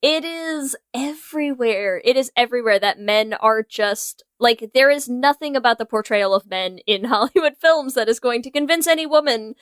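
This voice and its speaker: Smooth, higher-voiced woman chatting on a podcast. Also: moderate pace, few pauses, dry recording, studio quality. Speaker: podcast co-host. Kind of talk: casual chat.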